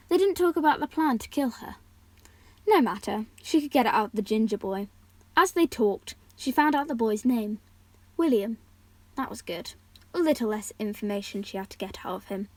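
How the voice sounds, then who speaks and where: A young woman with a fairly high voice works as an amateur reader and host. She is informal and prone to small stumbles and self-corrections. The sound is dry, with very little room on it. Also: a little mellow, dry recording. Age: teenager or young adult, still at school. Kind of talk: reading a story aloud with casual asides.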